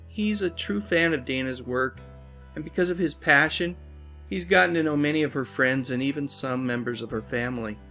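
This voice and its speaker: A man hosting and narrating a scripted video essay, with earnest, dramatic phrasing and drawn-out endings. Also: mid-range voice, fairly bright tone. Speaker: host, pop culture commentator. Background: music bed.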